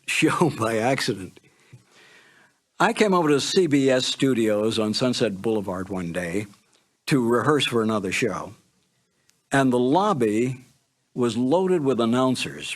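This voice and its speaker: Older American male, retired announcer telling anecdotes in a mid-pitched voice. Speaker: former radio announcer reminiscing. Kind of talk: reminiscing narration, storytelling.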